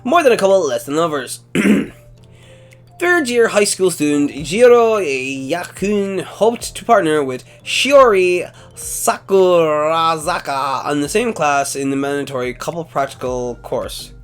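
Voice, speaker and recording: Brief: man, higher voice, very animated pitch, casual anime-preview host, faint music bed. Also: measured pace. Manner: animated, wondering aloud. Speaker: anime YouTuber. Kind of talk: reading synopses and casual commentary.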